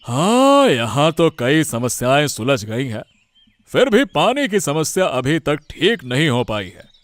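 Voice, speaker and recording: A man plays a concerned village father in a cartoon. He talks slowly and thoughtfully, in a slightly high voice with a swinging intonation, recorded dry. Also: sing-song, no echo. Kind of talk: Hindi cartoon story voice acting.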